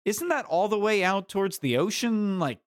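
A man playing a tabletop roleplaying game, improvising in a casual, halting, informal way. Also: sits high, lively intonation, dry recording, studio quality.

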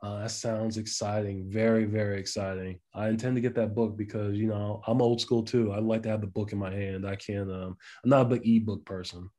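A man's voice: fairly low pitch, flat delivery, fairly quick, few pauses, mellow. A man hosting the show who is friendly and makes formal handoffs between segments. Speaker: show host. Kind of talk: hosting an episode.

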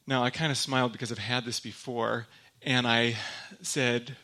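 Bright-voiced young man from Michigan. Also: mid-range voice, moderate pace. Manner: relaxed and joking, with many fillers and restarts. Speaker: guest speaker at a church. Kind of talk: sermon told as personal stories.

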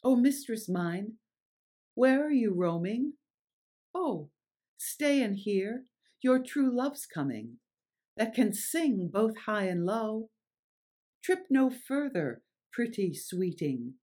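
A presenter giving scripted narration and reciting verse in a measured, deliberate, theatrical way. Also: medium pitch, sing-song, dark tone. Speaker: presenter and reader.

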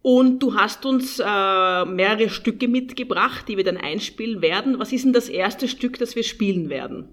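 A woman's voice: mid-range voice, normal speed, hardly any pauses. A woman hosting a radio show, giving a brief scripted welcome and asking plain questions of her guest.

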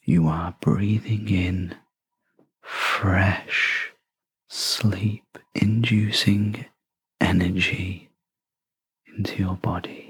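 A guide leading a sleep meditation in a breathy half-whisper, gentle and soothing, with long pauses between short phrases. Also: low voice, fairly even intonation, no room sound. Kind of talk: guided sleep meditation, soft scripted narration.

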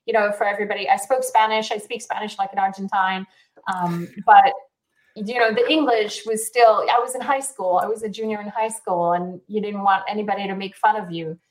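A woman's voice: mid-range voice, steady tone, fairly quick, rough recording. A woman in her forties from Israel with a light South African accent, chatting easily as a realtor being interviewed, laughing often and using many fillers. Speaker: realtor and guest. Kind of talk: casual interview answers.

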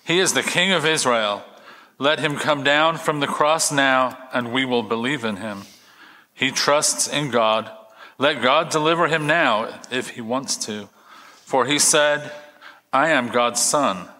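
A scornful, accusing chief priest read by a man in a church scripture reading. The voice is medium in pitch with a bright, forceful edge. It declaims into a long hall reverb. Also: measured pace. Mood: mocking. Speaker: reader of the chief priests' part.

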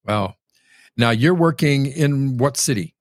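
A man's voice: medium pitch, lively intonation, slow, dry recording, studio quality. A man hosting an interview, introducing his guest and then chatting with him in a friendly, easygoing way. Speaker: host.

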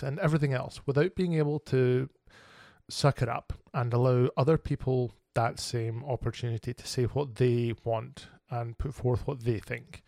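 A man's voice: medium pitch, gravelly, a little mellow, dry recording, studio quality. A man hosting his own podcast, talking alone in an earnest, reflective and candid way. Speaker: podcast host. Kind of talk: solo podcast monologue.

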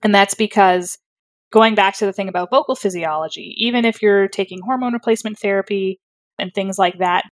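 A woman's voice: medium pitch, a little fast, dry recording. A woman speech therapist explaining her work in a thoughtful, articulate way, with fillers and self-corrections. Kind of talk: conversational explanation.

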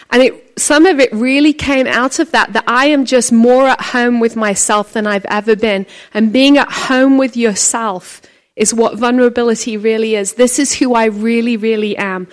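A talkative woman with a naturally loud voice preaches at a conference, telling stories and acting out quotes. Her voice sits a bit high for a woman. She talks freely and with energy. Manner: chatty, animated storytelling with acted quotes. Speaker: conference speaker. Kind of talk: conference sermon.

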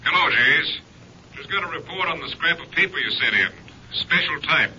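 Sharp, piercing police captain on telephone. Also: male voice, a little high, expressive, moderate pace. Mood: businesslike. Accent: American. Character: brisk, official male police captain reporting by long-distance telephone. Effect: telephone filter. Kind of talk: 1950s radio drama acting. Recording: muffled, noisy old radio, telephone effect.

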